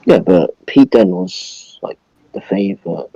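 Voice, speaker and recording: A man with a low, mellow voice chats casually as a co-host, chipping in with short remarks. The sound is lo-fi and muffled, as on an online voice call. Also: lively intonation, a little slow, gravelly, breathy edge, no echo. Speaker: podcast co-host. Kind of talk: casual chat. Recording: dull lo-fi voice chat.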